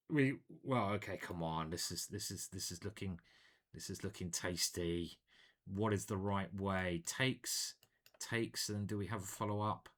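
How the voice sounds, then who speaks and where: A man's voice: on the low side, a bit bright. Playful chatty man commentating chess. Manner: playful and chatty, hums and sings little jingles. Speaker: chess grandmaster commentating his games. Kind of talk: casual game commentary.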